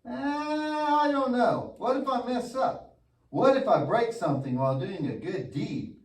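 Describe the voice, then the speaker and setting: A man's voice: very high-pitched, unhurried. A man playing a goofy, lazy, mischievous young boy puppet in a church show, with an exaggerated, wisecracking delivery. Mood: hesitant. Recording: echoey room, distant mic.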